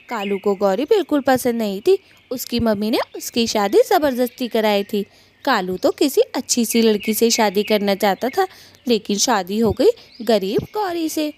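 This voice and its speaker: A woman's voice: on the high side, darkish tone, a little hiss or hum. A woman giving scripted narration for a children's cartoon story.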